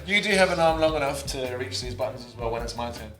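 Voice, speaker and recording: A man's voice: mid-range voice, lively intonation, fast talker. A man co-hosting a podcast, bantering casually in a teasing, jokey manner. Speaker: podcast co-host. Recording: roomy sound with music behind. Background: music.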